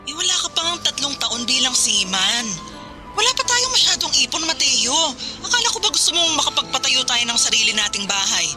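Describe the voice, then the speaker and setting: A woman's voice: high voice, sing-song, fairly quick. An actress playing a stern, practical Filipino seaman's wife in a radio drama, firm and exasperated with her husband. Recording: loud music under the voice.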